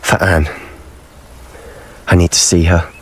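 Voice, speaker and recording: A man's voice: sits low, flat delivery, slow, a bit bright, slight background noise. A man voicing a determined, reckless teenage schoolboy wizard in a video game, with a British accent and an earnest, conspiratorial, articulate delivery.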